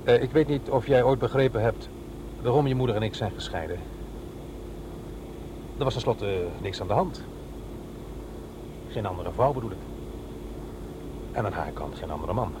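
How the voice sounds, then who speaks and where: An actor playing a middle-aged divorced father in a radio drama, explaining himself in a halting, defensive way. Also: on the low side, animated, close to an everyday voice. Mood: earnest, explaining. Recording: clear vehicle noise behind voice. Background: car driving ambience.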